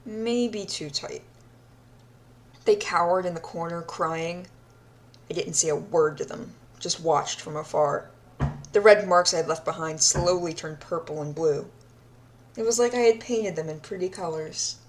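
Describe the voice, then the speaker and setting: A woman's voice: on the low side, a little slow, natural voice. A woman playing a deranged, obsessive yandere captor in an audio roleplay diary, sadistic yet childlike, speaking in a dreamy, intimate manner and lingering on words. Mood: cold, subdued. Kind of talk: audio roleplay monologue, recorded diary confession.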